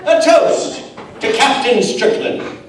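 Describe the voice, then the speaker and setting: A man's voice: very high voice, animated, takes it slowly, heavily acted. A man playing a pompous, jovial British colonial toastmaster, declaiming heartily in live radio theater. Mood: hearty. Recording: very echoey hall, distant mic, faint noise.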